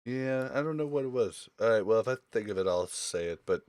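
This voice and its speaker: A man hosting a casual gaming podcast, talking informally and thinking aloud in halting phrases. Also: medium pitch, lively intonation, a little mellow, clean tone, no echo, studio quality. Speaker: podcast host.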